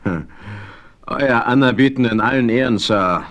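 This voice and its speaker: An actor playing a plain-spoken, cautious leader of westerners in a radio play, speaking deliberately. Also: male voice, medium pitch, fairly bright tone. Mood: questioning, wary.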